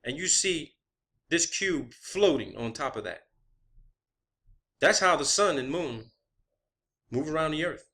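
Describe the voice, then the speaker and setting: A man's voice: on the high side, animated, takes it slowly, long pauses, pushed and bright. A man speaking as an online video creator in an impassioned, profane rant, combative and emphatic, repeating phrases to drive points home.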